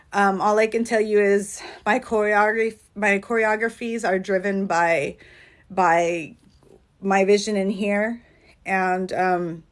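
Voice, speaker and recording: Earnest rambling woman on a phone livestream. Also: mid-range voice. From California, USA. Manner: rambling, earnest, heavy on fillers like "um" and "like". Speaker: dance choreographer and coach. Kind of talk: casual livestream chat.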